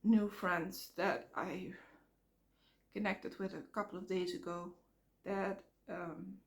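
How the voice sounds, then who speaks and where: A woman vlogging outdoors by a road, talking frankly in a halting way while she comes down with a cold and her voice cracks. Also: on the low side, steady tone. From Netherlands. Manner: frank, halting, repeats words. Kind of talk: casual rambling vlog. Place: outdoors near traffic.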